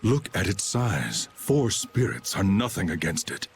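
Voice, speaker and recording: A man's voice: sits low, big pitch swings, a little slow, faint music behind. A man playing a cold, aloof antagonist who scorns humans in a video game, grave and formal. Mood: dismissive. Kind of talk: English video game voice acting.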